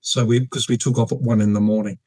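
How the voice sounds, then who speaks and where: A man in his sixties with an Australian accent, a guest telling long stories in a casual interview, halting often with fillers and restarts. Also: lowish voice, little pitch movement, darkish tone, breathy edge, no room sound. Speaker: guest, retired air force officer.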